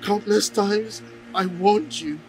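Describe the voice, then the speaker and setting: A man's voice: high voice, slow, a little hiss or hum, poor audio. A man playing a grieving, bitter friend who scolds at a grave. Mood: bitter grief. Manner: bitter, reproachful.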